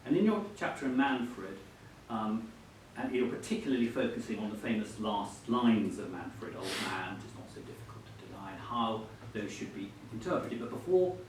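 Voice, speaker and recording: A man's voice: animated, moderate pace. A man acting as interviewer in a literary interview before an audience, putting his questions in a hesitant, roundabout way. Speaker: interviewer at a book event. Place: echoey hall at a live book event. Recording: very echoey, distant mic, faint rumbling noise.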